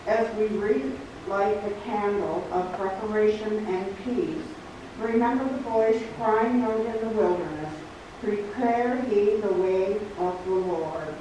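An older woman reads a candle-lighting liturgy and prayer in a very slow, solemn way. Her voice echoes heavily around a small church. It comes from a distance, with background noise. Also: mid-range voice, muffled recording. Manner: measured and solemn. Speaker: Advent reader. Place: small church sanctuary, standing at the front. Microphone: stand mic, far camera.

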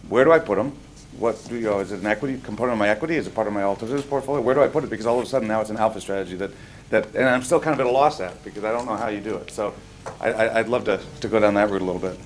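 A man's voice: fairly low pitch, very fast, slight background noise. A middle-aged man from the United States moderating a finance panel from a lectern, chatty and self-deprecating. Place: conference room at a lectern.

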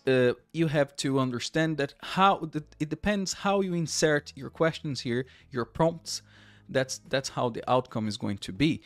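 A man's voice: fairly high pitch, dry recording. A man in his thirties with a Portuguese accent, hosting a chatty screen-share tutorial, often repeating and restarting words. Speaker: tech tutorial host.

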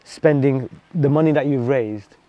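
A man interviewing a guest, thinking aloud in a hesitant, reflective way with many pauses. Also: medium pitch, no echo, dull audio. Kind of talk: casual interview.

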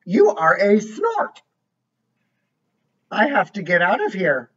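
A woman's voice: medium pitch, wildly swooping pitch, takes it slowly, slightly rough, full character voice. A woman voicing a small, anxious baby bird that is lost, pleading and calling out, on a dull lo-fi recording. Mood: frightened.

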